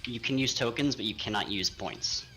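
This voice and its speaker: A man's voice: medium pitch, normal speed, bright, forceful tone, rough recording. A man talking casually and informally as a player in a tabletop game. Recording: music playing behind the voice. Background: music.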